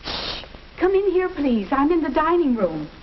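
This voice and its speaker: A woman's voice: high-pitched, big pitch swings, normal speed. An actress playing a worried middle-aged mother in an old educational film. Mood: hushed, firm. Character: worried, soft-spoken mother of grown and teenage children. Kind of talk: mid-century educational film acting. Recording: dull, noisy old film soundtrack.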